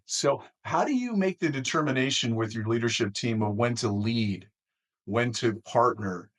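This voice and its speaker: A man hosting an interview, setting up his questions with measured lead-ins and deliberate, formal phrasing. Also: medium pitch. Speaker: interview host.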